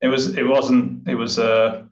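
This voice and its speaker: A man from the UK with a British accent, presenting his software at a meetup over a video call, hesitant with many fillers and restarts. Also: medium pitch, little pitch movement, unhurried. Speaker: presenting developer. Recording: distant, roomy, dull sound.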